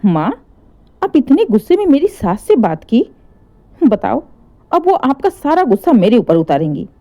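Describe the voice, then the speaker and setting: A woman's voice: a little high, big pitch swings, moderate pace, dark tone. A woman voicing an upset young married daughter in a story, plaintive and protesting. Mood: worried.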